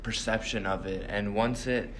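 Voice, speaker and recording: Low-voiced young man, relaxed interviewee. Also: normal speed, slight background noise. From Bahamas. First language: Portuguese. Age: young adult. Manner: relaxed, trailing off softly at the ends of thoughts. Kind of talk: casual interview answers. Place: hotel meeting room.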